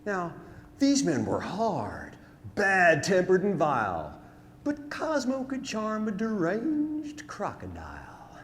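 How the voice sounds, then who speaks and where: A man's voice: sits high, huge pitch swings, takes it slowly. Man reciting folksy verse in reverberant hall. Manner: dramatic, folksy, emphatic delivery. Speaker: storyteller performing narrative poem. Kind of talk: rhymed theatrical storytelling ballad recitation. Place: on stage in a theatre. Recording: hall reverb, noisy live theatre recording.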